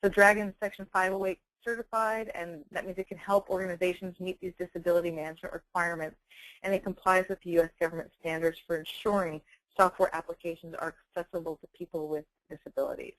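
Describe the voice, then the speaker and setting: A woman giving an explanatory software presentation in a measured, careful, professional way. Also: on the low side, little pitch movement, no echo, poor audio. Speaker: webinar presenter, software company representative.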